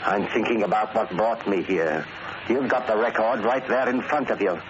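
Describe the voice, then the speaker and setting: Through a dull, hissy 1940s radio recording comes an actor as a weary male convict in middle age. The voice is low, brooding and bitter, with dramatic delivery. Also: normal speed, lightly acted. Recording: noisy, muffled old radio recording.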